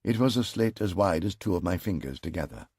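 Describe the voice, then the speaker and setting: A man reads a first-person audiobook in a slow, grave, measured manner. His voice sits very low and has a husky grain, yet his pitch moves a great deal. The booth sound is dry and close. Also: expressive, a little mellow, no echo. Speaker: audiobook narrator.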